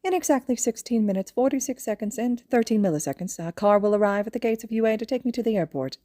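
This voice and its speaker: A woman's voice: on the high side, fast, mellow, dry recording, clean studio sound, voice pushed up, lightly acted. A woman voicing a small male animal principal, a cunning genius who speaks in a formal, wordy, cheerfully smug way in an audio story. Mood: precise, smug. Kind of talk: audio fan fiction character voice.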